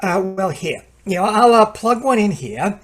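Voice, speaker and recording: A man's voice: high-pitched, very animated, normal speed, a bit bright, heavily acted. An actor playing a folksy, patient gadget salesman in a radio play, chatty and colloquial, hemming and hawing. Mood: obliging sales patter.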